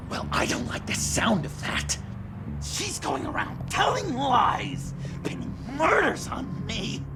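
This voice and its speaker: A man's voice: extremely high pitch, wildly swooping pitch, takes it slowly, exaggerated voice. An actor playing a manic, needy young male villain in a video game, chatty and theatrical. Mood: agitated. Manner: theatrical, chatty, wheedling, swooping. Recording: loud music behind.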